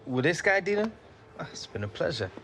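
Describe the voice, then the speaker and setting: An actor playing a cocky, keen young footballer with an English accent and matey, slangy speech in a game cutscene. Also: male voice, a little high, very animated, brisk, fairly bright tone, a little hiss or hum. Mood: teasing. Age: young adult. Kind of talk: video game cutscene acting. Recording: music behind the voice.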